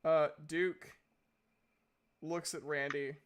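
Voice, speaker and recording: A man's voice: on the high side, little pitch movement, drawn-out words, clean tone. A man acting as game master, chatting casually as he runs a tabletop role-playing game.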